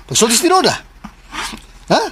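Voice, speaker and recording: An actor with a Filipino accent playing an excitable, fussing adult son who stammers and repeats his words in a radio drama. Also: very high-pitched, moderate pace, slightly rough, full character voice.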